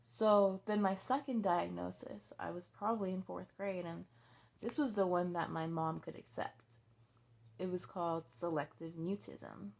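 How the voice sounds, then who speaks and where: A young woman in her twenties with a low, gravelly, creaky voice, speaking slowly and haltingly. She restarts her sentences often and fills gaps with fillers. Her talk is blunt and candid, with frequent swearing. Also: fairly even intonation, a little mellow. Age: 20s. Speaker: vlogger. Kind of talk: personal confessional vlog.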